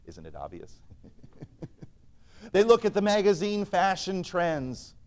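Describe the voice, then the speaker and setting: Man, preacher, fairly high-pitched, earnest emphatic sermon, loud-soft swings, old-style scripture recitation, church. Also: huge pitch swings, slow pace.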